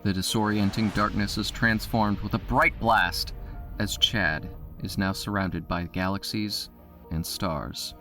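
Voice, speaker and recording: A man narrating an audio drama with a steady, descriptive delivery. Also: on the low side, a little slow, soft background music. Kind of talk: scripted narration.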